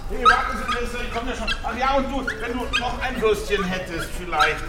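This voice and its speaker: A man playing a gruff but teasing older homeless man, big and shaggy, in a children's radio play. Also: very high voice, fairly quick. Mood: wheedling, friendly.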